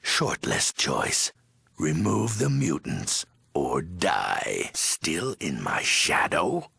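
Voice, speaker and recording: An actor voicing a menacing, weary ghoul overlord in a video game, raspy and gravelly, speaking in terse, commanding threats with odd broken syntax. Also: male voice, extremely low pitch, wildly swooping pitch, drawn-out words, bright, forceful tone, no echo, heavily acted. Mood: scheming, threatening. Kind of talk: video game character voice acting.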